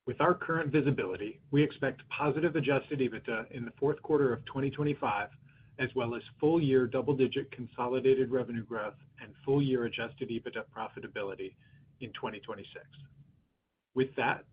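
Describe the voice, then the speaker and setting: A man's voice: mid-range voice, fairly quick, a little hiss or hum. Male corporate presenter, formal prepared delivery, narrow telephone sound. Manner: formal, reading prepared remarks. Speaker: company finance executive. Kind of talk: scripted earnings call presentation. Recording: muffled narrow phone line.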